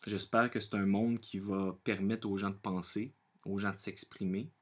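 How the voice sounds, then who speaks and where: Low-voiced, halting Québécois man. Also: little pitch movement, slightly rough. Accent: Quebec French. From Quebec, Canada. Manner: halting, searching for words, repeating himself; earnest. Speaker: vlogger sharing personal opinions. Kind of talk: unscripted monologue to camera.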